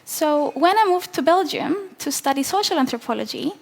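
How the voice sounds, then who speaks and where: A woman in her twenties from southern Cyprus, a native Greek speaker, explaining clearly in a prepared conference talk on a headset mic in a large hall. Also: high-pitched, expressive, normal speed. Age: 20s.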